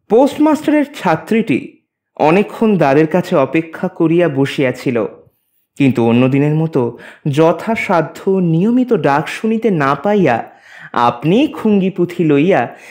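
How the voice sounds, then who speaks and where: A man narrating a literary story in a measured, expressive, formal reading. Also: fairly high pitch.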